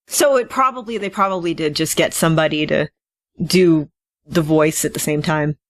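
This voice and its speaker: A woman's voice: sits low, moderate pace, no room sound, clean studio sound. A woman co-hosting a podcast in casual conversation. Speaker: podcast co-host. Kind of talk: casual chat.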